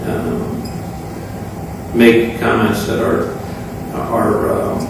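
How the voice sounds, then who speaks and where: A man speaking off the cuff as an official at a public meeting, halting, solemn and aggrieved. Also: on the low side, little pitch movement. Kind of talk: extemporaneous public remarks. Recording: very echoey room, distant mic, clear noise.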